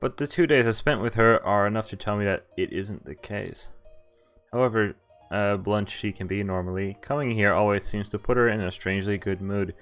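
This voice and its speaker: A young man with a fairly low voice streams a visual novel. He reads the story text aloud, then drifts into loose, joking asides with some hesitation. Game music plays faintly behind him. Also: brisk, fairly bright tone. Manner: casual, jokey, hesitant asides. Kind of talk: casual live stream commentary mixed with read-aloud narration.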